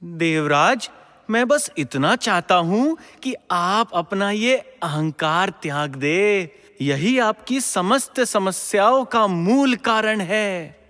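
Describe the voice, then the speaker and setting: A serene, knowing heavenly sage speaks in a fairly high, animated male voice. His words are drawn out and singsong, echoing in a large hall, as in a mythological radio play. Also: slow, full character voice. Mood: teasing. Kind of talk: Hindi mythological audio drama acting. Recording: long hall-like reverb.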